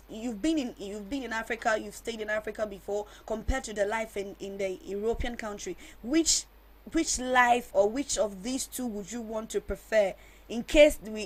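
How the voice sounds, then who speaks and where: A woman's voice: on the high side, moderate pace. A woman hosts a talk show. She puts questions to her guests, switching between English and Twi and rephrasing as she goes. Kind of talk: hosting a discussion, putting questions to guests.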